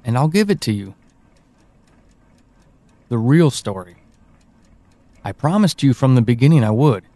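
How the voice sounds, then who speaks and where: A man playing a teasing, evasive confidant in an audio drama, speaking slowly and deliberately with dramatic pauses. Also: medium pitch, big pitch swings, warm tone. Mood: wry, teasing. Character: teasing, evasive confidant guiding someone through dark memories. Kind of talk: audio drama acting, intimate monologue and dialogue.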